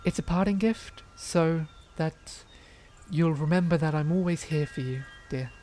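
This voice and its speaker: A woman's voice: sits low, a little slow, mellow, faint music behind. A woman playing a doting, affectionate loved one in a fantasy audio drama, tender, coaxing and fussy. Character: doting, fussing, affectionate partner or relative.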